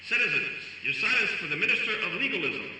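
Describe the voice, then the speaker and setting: A man's voice: sits high, very animated, fast. A man playing an officious herald in a radio play, calling out ceremonial announcements to citizens. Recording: very echoey hall, distant, loud noise.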